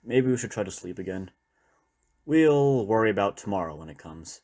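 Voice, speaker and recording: Man acting as low-voiced, weary apocalypse survivor. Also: lively intonation, normal speed, darkish tone. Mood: warm, hopeful. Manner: reflective, rambling, conversational. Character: weary male former doctor surviving a zombie apocalypse, loyal partner. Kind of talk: intimate audio roleplay, one-sided dialogue.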